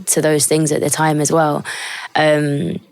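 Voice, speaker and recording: A soft-spoken young woman from Britain, a poet guest reflecting in a measured, thoughtful way during an interview. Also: deep voice, completely flat delivery, vocal fry, smooth. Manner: reflective, measured, thinks aloud. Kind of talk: conversational interview.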